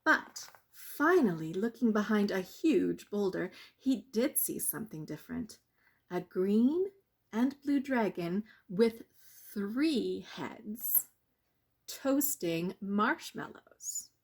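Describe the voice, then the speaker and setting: A woman reading a children's bedtime story expressively, with deliberate dramatic pauses. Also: medium pitch, lively intonation, a little mellow, husky. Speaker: storyteller reading a picture book.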